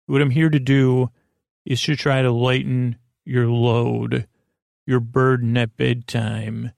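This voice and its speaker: Creaky-voiced man rambling soothingly at bedtime. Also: medium pitch, little pitch movement, a little mellow, dry recording, clean studio sound. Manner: meandering, halting, goofy asides; soothing, lulling delivery. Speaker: podcast host. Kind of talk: rambling bedtime monologue to help listeners sleep.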